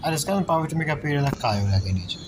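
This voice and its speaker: A man's voice: fairly high pitch, animated, very fast, background noise. A man giving casual, rambling gameplay commentary as he streams, muttering his reactions to the game. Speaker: gaming streamer. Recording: game music clearly behind the voice.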